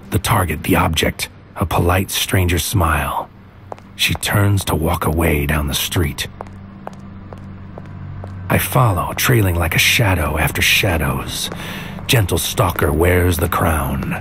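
Very deep brooding noir infiltrator. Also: male voice, gravelly. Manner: poetic, clipped, deliberate phrasing. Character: brooding male mind infiltrator narrating a surreal noir mission. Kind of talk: scripted noir audio drama narration. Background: music.

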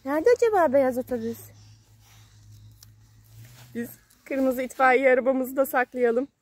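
A woman with a high voice talks to a small child during play. She goes slowly, repeating simple phrases and questions in a playful sing-song way. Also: no room sound. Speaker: parent playing with a young child on a kids' channel. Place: outdoors at a sand and gravel pile.

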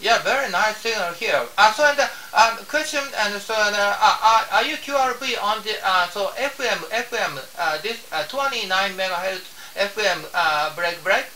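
A man from Japan with a Japanese accent, making a ham radio contact in halting, repetitive English and spelling out call signs. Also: high voice, animated, sharp tone, distant mic. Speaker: amateur radio operator. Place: small home radio room. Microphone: desk radio microphone, camera picking up the room. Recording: roomy, off-mic, with faint hiss.

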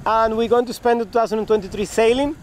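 A man's voice: extremely high pitch, steady tone, fast, a little mellow. A man in his thirties chatting cheerfully to a selfie camera on a sailboat deck, teasing and often repeating his words. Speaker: sailing vlogger. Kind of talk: casual chat to camera. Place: on a sailboat deck at sea, outdoors. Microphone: camera on a selfie stick. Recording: wind and water noise outdoors.

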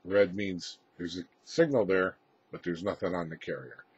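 A man giving an unscripted technical walkthrough as a presenter, in a halting, matter-of-fact way with a husky voice. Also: low-pitched, fairly even intonation. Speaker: presenter demonstrating his radio equipment. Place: indoor room with equipment rack. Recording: roomy, slightly muffled home-video sound.

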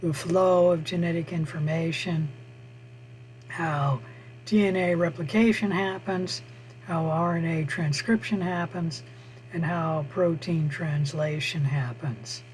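Hoarse, high-voiced male lecturer. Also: fairly even intonation, slight background noise, rough recording. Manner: slow, halting, with frequent "uh" pauses. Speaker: college biology instructor. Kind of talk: online lecture over screen share. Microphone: computer mic on a video call.

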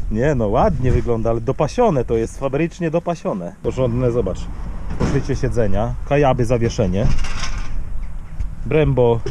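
A man's voice: medium pitch, sing-song, measured pace, pauses often. A man chatting enthusiastically to viewers while riding a dirt bike outdoors, recorded on a helmet camera. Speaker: enduro vlogger. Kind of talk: casual ride commentary. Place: outdoors on dirt tracks and fields, riding a motorbike. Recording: loud engine and wind noise.

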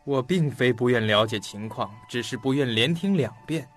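A man's voice: medium pitch, normal speed, natural voice. A man acting in an audio drama as an impatient, skeptical detective, with a blunt, probing, theatrical delivery. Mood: impatient, dismissive. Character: impatient, skeptical male detective questioning a colleague. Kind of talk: Chinese audio drama acting, interrogative dialogue. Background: faint background music.

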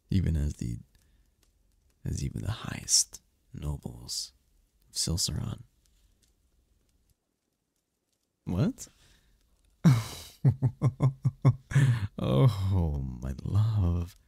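A man voicing a seductive, possessive demon prince in an ASMR roleplay, with a husky, gravelly voice and a regal, flirtatious manner. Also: a bass voice, lively intonation, dark tone, no echo, full character voice. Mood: tender, possessive. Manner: regal, slow-savouring, flirtatious.

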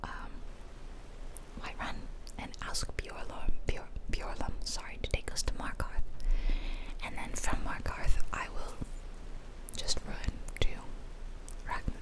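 A woman whispering casual, playful game commentary in a breathy ASMR style, close to the microphone. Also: slow, long pauses, low-quality recording. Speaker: gaming video host. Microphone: close ear-to-ear ASMR microphone.

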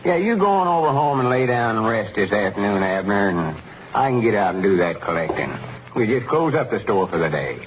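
A man's voice: fairly low pitch, sing-song, fairly quick. A muffled, noisy old radio recording carries a rural Southern storekeeper. He is earnest and considerate, with a folksy drawl suited to 1930s comedy.

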